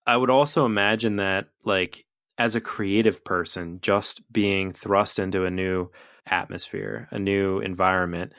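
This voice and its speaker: Deep-voiced man hosting a podcast. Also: a little slow, dry recording, clean studio sound. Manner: relaxed, laughs often, filler "uh" and "like". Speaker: podcast host. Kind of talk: casual interview chat. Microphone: remote call recording.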